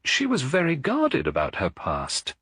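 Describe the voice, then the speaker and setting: A man's voice: mid-range voice, wildly swooping pitch, measured pace, fairly bright tone, husky, dry recording, clean studio sound, voice pushed up, heavily acted. A man voicing a careful, reflective woman who works at a children's centre, in a storybook mystery.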